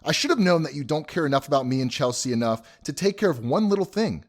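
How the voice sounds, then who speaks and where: A man's voice: a little high, lively intonation, quick pace. A man playing an exasperated, scolding young groom in an audio drama. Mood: angry, hurt. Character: exasperated groom, scolding his wedding party.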